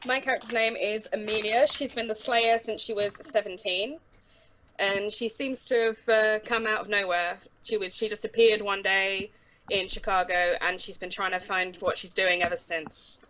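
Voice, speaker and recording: A woman's voice: medium pitch, fairly even intonation, normal speed, sharp tone. A woman casually introducing her roleplay character over a voice call. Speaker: tabletop roleplay player. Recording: faintly noisy voice call.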